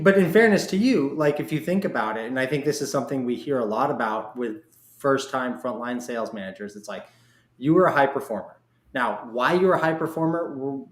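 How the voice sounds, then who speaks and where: A man hosting a conversational interview, validating as he talks through his points with "you know", recorded with a roomy echo. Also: medium pitch. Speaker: podcast host. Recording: roomy, echoing sound.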